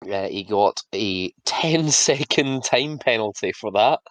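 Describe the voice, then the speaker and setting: A man with a fairly low voice, talking in a relaxed podcast chat. He laughs easily and drops into brief mock voices for officials. The sound is dry and close, with no room behind it. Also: no echo. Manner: laughs often, acts out officials in quick asides. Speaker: podcast co-host. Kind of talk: casual chat.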